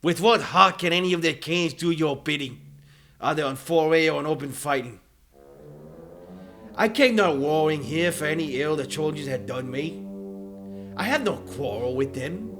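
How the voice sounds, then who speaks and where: A proud, defiant warrior hero acted by a man from an epic audiobook drama. His voice is fairly high for a man, and he delivers bold, indignant oratory. Music plays beneath him. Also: normal speed. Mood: contemptuous, angry. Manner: declamatory, forceful oratory.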